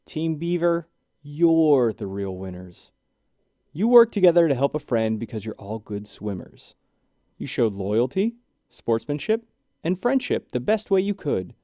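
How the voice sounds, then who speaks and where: A man's voice: mid-range voice, big pitch swings, measured pace, dark tone, dry recording, clean studio sound. A man voicing a sweet young female animal in a children's storybook, earnest and heartfelt. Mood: grateful, warm.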